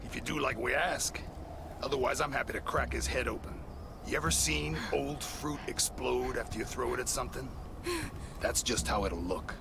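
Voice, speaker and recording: Game villain male actor: mocking raider, creaky gravel in the throat, menacing, clear background noise. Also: medium pitch, moderate pace, close to an everyday voice. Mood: cruel threat. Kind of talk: video game drama acting.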